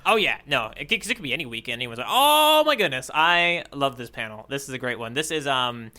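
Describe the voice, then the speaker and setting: A man co-hosting a podcast, chatting casually about comics in a halting way, often restarting his sentences. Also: mid-range voice, big pitch swings, sharp tone. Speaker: podcast co-host. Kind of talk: casual chat about a manga.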